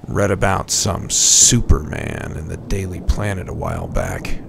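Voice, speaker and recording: A man playing a world-weary older police captain, narrating a noir comic-book audio drama in a hushed, brooding way. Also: sits low, creaky, exaggerated voice. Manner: slow, brooding.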